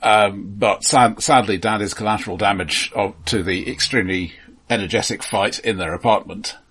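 A man co-hosting a film podcast in casual discussion, speaking haltingly with repeated words and dry, wry humour. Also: fairly low pitch, a bit bright, breathy edge.

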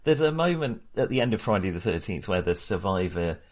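A man co-hosting a film podcast in casual discussion, talking haltingly and often correcting himself. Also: low voice, big pitch swings, darkish tone. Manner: hesitant, halting, self-correcting.

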